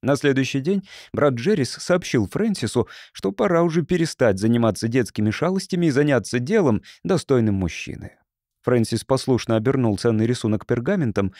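A man's voice: mid-range voice, mellow, no room sound. Male audiobook narrator, dry studio sound. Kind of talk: scripted literary narration.

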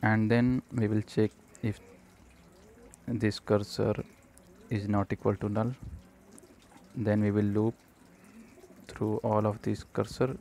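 A man presenting a step-by-step programming tutorial, speaking haltingly in short fragments. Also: on the low side, flat delivery, darkish tone, slight background noise. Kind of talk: step-by-step screencast tutorial narration.

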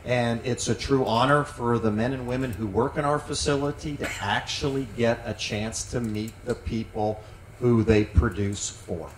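A man's voice: medium pitch, normal speed. A middle-aged man speaking on a panel as a site manager, earnest and full of fillers, into a handheld mic in a large tent. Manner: earnest, with frequent fillers and repeated words. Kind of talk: panel discussion. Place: large tent at an outdoor event. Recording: roomy sound from some distance, faint noise.

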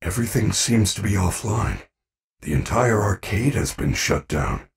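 A man voicing a gentle, earnest animatronic bear in a video game, speaking formally and carefully with few contractions. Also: fairly low pitch, very animated, moderate pace, gravelly, breathy edge, distant mic, heavily acted. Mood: earnest, concerned.

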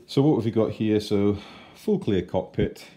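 A man in his forties giving a chatty, unhurried model kit review at his kitchen table. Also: on the low side, warm tone. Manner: chatty and unhurried, with fillers and self-corrections. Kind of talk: casual unboxing review.

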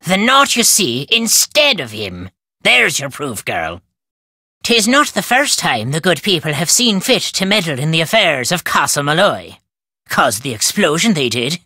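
An actor playing a crotchety, superstitious old Irish caretaker in a video game, telling tales in a folksy, ominous, emphatic way. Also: male voice, a little high, big pitch swings, a little slow, pushed and bright, no echo, clean studio sound, full character voice. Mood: ominous storytelling. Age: older. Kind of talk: theatrical storytelling game dialogue.

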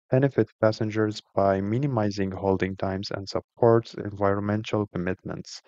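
A man presenting a scripted explainer in a formal, measured way, recorded as a close voice-over on a dull microphone. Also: on the low side, darkish tone, dry recording.

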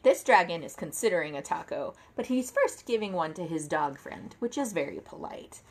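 A woman in her thirties reading a children's picture book aloud at home, expressively, with theatrical emphasis and deliberate phrasing. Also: on the low side, lively intonation. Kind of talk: animated read-aloud to children. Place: indoor room at home, seated at a table.

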